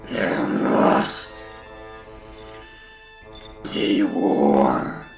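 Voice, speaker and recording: Audio drama villain: a chained, menacing immortal, extremely slow, gravelly growl, halting fragments. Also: male voice, a bass voice, over music, full character voice. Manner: halting single words and fragments. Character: imprisoned male Eternal, chained war-obsessed brother, halting and menacing.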